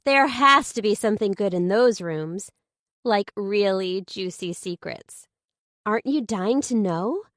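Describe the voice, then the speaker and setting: A woman voicing a nosy, excitable female friend in an audiobook, pushy and dramatic, with emphatic, eager questioning. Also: medium pitch, measured pace, no echo, studio quality, voice pushed up. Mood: curious. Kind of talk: audiobook character dialogue.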